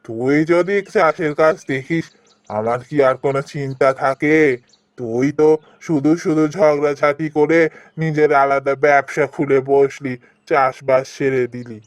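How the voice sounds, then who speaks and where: A man's voice: mid-range voice, fairly even intonation, unhurried, dry recording, lightly acted. A man voicing a hard-working, protective elder brother, an earnest village farmer, in a cartoon. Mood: delighted. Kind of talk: cartoon voice acting.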